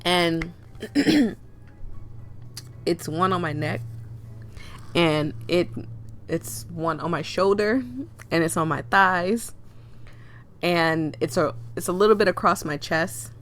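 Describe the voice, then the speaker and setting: A woman with a cold and a hoarse, fading voice, reading a visual novel aloud with chatty asides. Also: on the low side, takes it slowly, long pauses, fairly bright tone. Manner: chatty, laughs and coughs often, rambling asides. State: hoarse, losing her voice with a cold. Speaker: game reader and streamer.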